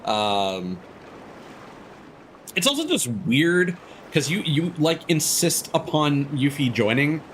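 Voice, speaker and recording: A man's voice: fairly high pitch, very animated, takes it slowly, fairly bright tone, a little hiss or hum, poor audio. A man hosting a game livestream, giving rambling, opinionated explanations in a casual, chatty way.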